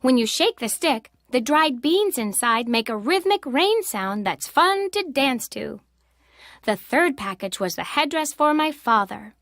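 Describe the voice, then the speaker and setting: A woman narrates a storybook for young children in an expressive read-aloud style. Her voice is high and swings widely in pitch. The sound is dry, with no room around it. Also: unhurried, no room sound.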